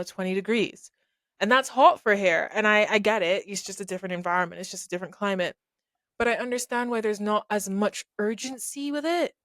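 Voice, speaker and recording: Young woman podcaster from Barbados, earnest, conversational, mid-pitched, dry close-miked sound. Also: no room sound. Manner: earnest, conversational, thinks aloud with restarts. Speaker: podcast host. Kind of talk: reflective solo podcast talk.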